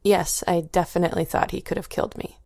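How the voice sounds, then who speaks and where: An American woman playing a distressed young Air Force veteran in an acted interview, halting and reluctant as she speaks. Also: fairly low pitch, fairly even intonation, gravelly, warm tone, dry recording, clean studio sound. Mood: distressed, ashamed. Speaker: voice actor in a training simulation. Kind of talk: acted clinical interview testimony.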